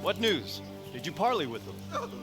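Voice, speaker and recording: Higher-pitched, wry medieval lord. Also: male voice, big pitch swings, normal speed, over music. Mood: brisk, inquiring. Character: shrewd, wry medieval lord at war council. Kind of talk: dramatic video game cutscene acting.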